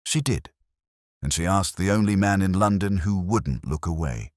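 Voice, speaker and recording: A man voicing a cool, relentless Victorian detective with formal, deliberate speech in an audiobook story. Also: low voice, no echo, clean studio sound, natural voice. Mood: soft but firm.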